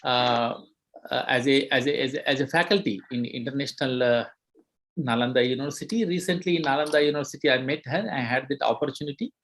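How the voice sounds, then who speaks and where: A man's voice: medium pitch, quick pace, a bit bright, a little hiss or hum. A man moderating an online conference, introducing a speaker hesitantly with many fillers and repeated words.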